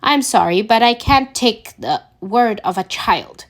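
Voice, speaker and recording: A woman's voice: on the high side, expressive, measured pace. A woman reading the part of an angry, contemptuous male military colonel in a story. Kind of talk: amateur audiobook character reading.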